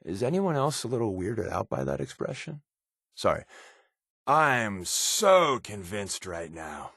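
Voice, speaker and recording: A secretive underground agent in a video game, an American wisecracker. His voice sits on the low side and stays laid-back and sardonic. The sound is tight and dry, with no room around it. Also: sing-song, measured pace, vocal fry, fairly bright tone, husky, no echo, full character voice. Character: wry, secretive male spy for a resistance underground.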